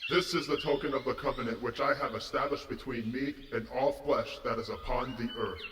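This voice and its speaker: A man's voice: medium pitch, a little fast, noisy background, voice pushed up, natural voice. A man voicing a solemn God in vast reverb. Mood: solemn, majestic. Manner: solemn, declamatory. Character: God, solemn almighty male divine voice declaring covenant. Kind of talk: dramatized scripture reading. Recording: echoing voice with long hall reverb.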